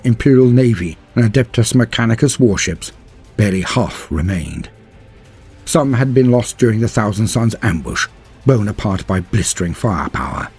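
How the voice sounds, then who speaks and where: Husky low-voiced man narrating dramatically. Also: darkish tone. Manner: measured, theatrical, emphatic delivery with drawn-out words. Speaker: lore narrator. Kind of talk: dramatic scripted narration of war fantasy lore. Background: music.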